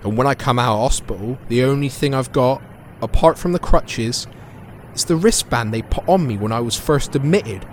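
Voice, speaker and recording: Male actor as young drifter living rough, colloquial and embarrassed, audio play monologue, faint noise behind. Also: mid-range voice, normal speed, natural voice. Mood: resigned. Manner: colloquial, plain-spoken. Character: embarrassed young homeless man, a drifter with a limp. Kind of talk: audio drama, confessional first-person monologue.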